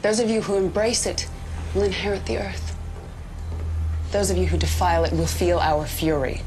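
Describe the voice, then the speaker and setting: Actress as low-voiced stern militant leader. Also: female voice, fairly even intonation, normal speed, background noise, close to an everyday voice. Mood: defiant, resolute. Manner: grave, resolute, oratorical. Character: stern militant woman leader addressing her followers. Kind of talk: propaganda address, game cutscene acting.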